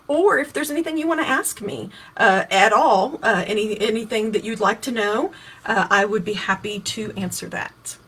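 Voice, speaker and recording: A woman in her fifties from Kentucky talks straight to her viewers in a casual livestream, walking them through practical details. Her voice is husky and sits at a middle pitch, and she often restarts a phrase or adds a filler as she goes. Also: moderate pace, a bit bright. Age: 50s. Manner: conversational, with many restarts and fillers, answering viewer questions. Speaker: political candidate hosting her own livestream. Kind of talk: casual explanatory livestream talk.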